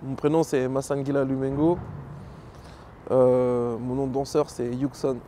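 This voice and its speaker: A man's voice: mid-range voice, a little slow, slight background noise. A middle-aged man from Belgium with a Congolese family, a professional dancer, telling his own story casually in an interview, with lots of fillers. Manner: relaxed, many fillers, lists names. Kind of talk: casual interview, personal history.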